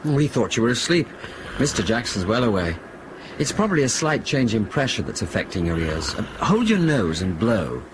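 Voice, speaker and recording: Man an actor as a passenger, medium pitch, varied intonation, sensible, patronising, radio play, very noisy cabin. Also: very animated, normal speed, lightly acted. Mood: reassuring. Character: dismissive, sensible male airline passenger. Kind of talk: radio drama acting. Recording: loud aircraft cabin noise.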